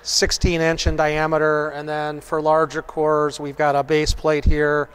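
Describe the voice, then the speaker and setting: A man's voice: fairly high pitch, monotone, measured pace, clear, resonant tone. Middle-aged man touring a machine shop. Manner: frequent "uh" and "um" fillers, matter-of-fact. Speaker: company manager giving a shop tour. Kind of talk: explaining processes on a factory tour. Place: large industrial machine shop, indoors.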